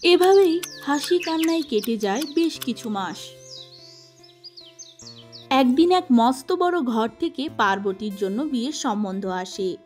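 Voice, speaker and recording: A woman's voice: sits high, expressive, measured pace, darkish tone, faint music behind. A woman narrating a scripted storybook tale.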